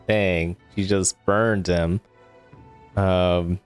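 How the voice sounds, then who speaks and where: A man's voice: low-pitched, fairly even intonation, very slow, faint music behind. A man streaming a video game with casual, musing commentary, reacting aloud as he plays. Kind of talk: casual let's play commentary.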